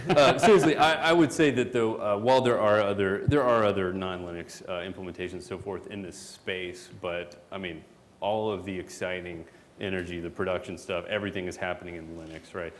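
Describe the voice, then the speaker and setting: A man giving a relaxed, joking conference talk as a product manager, full of fillers. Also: deep voice, normal speed. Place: conference hall. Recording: hall reverb, faint background noise.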